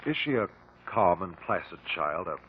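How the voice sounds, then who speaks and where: A man acting a calm, courteous investigator who questions precisely and politely in a 1950s radio drama. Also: low-pitched, normal speed. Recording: narrow-band, faintly noisy old radio recording.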